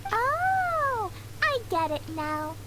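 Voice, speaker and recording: Tiny childlike female game companion, excitable and chatty, squeaky and lively, loud noise behind. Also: very slow, heavily acted. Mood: excited.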